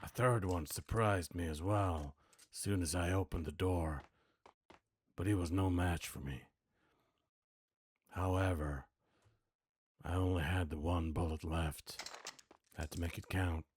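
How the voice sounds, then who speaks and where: A man's voice: low voice, steady tone, measured pace, long pauses, slight background noise. A man voicing a weary, grim hardboiled noir gunman who narrates his own story in terse, brooding lines, as in a video game. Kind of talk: noir game monologue, voice acting practice.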